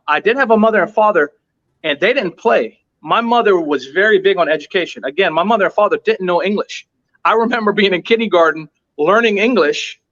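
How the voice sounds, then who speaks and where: Guest-interview read: high-pitched man, earnest and emphatic, hammering points through repetition, heard over muffled lo-fi audio. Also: fairly bright tone. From United States. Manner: emphatic, repeats points for stress. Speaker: restaurant owner, interview guest. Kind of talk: earnest motivational storytelling.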